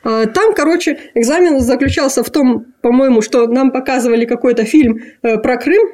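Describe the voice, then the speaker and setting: A young woman from Kazakhstan, a graduate student, talking casually in an interview with many pauses and fillers. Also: high voice, darkish tone. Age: young adult. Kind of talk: casual conversation.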